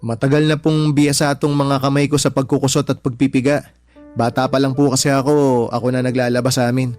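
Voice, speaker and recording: A man's voice: medium pitch, little pitch movement, fairly quick, darkish tone, faint music behind, close to an everyday voice. A man acting in a radio drama as a meek young son-in-law, respectful, earnest and pleading. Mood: hurt, pleading. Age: young adult.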